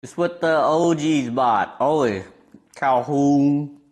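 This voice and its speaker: A man's voice: on the high side, slow pace. A young man from Wisconsin with a Midwestern accent, chatting casually with his stream viewers, rambling with lots of fillers. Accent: Midwestern American. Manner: laid-back, rambling, lots of fillers, animated when amused.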